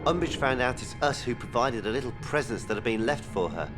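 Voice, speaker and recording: A man's voice: medium pitch, expressive, fairly quick, lightly acted. An actor playing a mischievous, self-assured teenage boy prankster in a video game, with a wry manner. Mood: wry, conspiratorial. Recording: music playing underneath.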